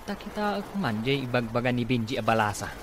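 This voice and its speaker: Male actor debt-ridden drinking pal, chummy, radio drama, average pitch, noisy ambience. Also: big pitch swings, fast talker, natural voice. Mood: intrigued. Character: girl-curious village drinking buddy, broke and in debt. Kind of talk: Ilocano radio comedy drama acting.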